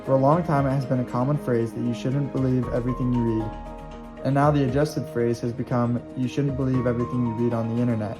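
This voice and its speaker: A man reading scripted documentary narration in an earnest, steady way. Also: mid-range voice, fast. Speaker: student narrator. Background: guitar music.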